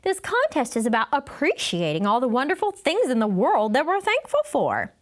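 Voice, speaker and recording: A woman voicing a weary, patient male first-grade teacher in a children's storybook, measured and explanatory. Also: high-pitched, wildly swooping pitch, pitched above the performer's own voice. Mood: encouraging. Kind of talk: children's storybook read-aloud.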